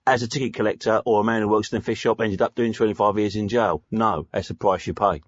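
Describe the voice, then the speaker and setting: An actor playing a menacing, matter-of-fact ex-convict with a London accent and blunt slangy talk on a spoof radio phone-in. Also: male voice, fairly low pitch, steady tone, fast, no echo, natural voice. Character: menacing ex-convict gangster guest, matter-of-fact about killing.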